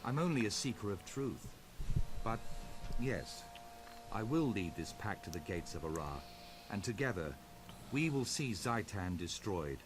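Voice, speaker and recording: An earnest plant-born scholar leader. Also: male voice, lowish voice, measured pace. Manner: earnest, calm, a little hesitant. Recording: game audio with background noise.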